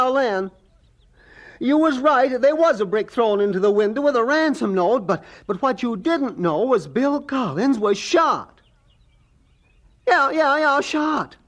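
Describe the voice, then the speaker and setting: Folksy older American handyman, nosy, excitable, rustic drawl, unhurried, very animated pitch, radio drama. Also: exaggerated voice. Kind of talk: 1970s radio drama acting.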